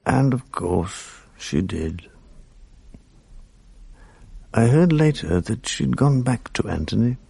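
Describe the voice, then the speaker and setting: A man's voice: medium pitch, big pitch swings, slow, gravelly, long pauses, warm tone, husky, dry recording. A man giving rueful, reflective first-person narration in a 1980s radio drama.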